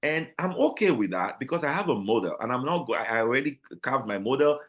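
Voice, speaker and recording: A man with a Nigerian accent, a guest talking casually in an interview, animated and full of restarts and repeated words. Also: mid-range voice, brisk. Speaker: guest, business owner.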